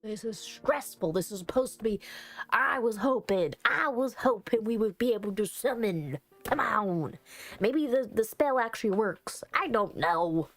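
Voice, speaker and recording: A woman streaming a visual novel, reading dialogue aloud and adding rambling commentary in a halting, musing way. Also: on the high side, lively intonation, a bit bright, soft background music.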